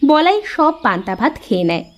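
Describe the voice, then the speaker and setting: High-voiced woman narrating a story. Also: big pitch swings, moderate pace. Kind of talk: scripted cartoon story narration.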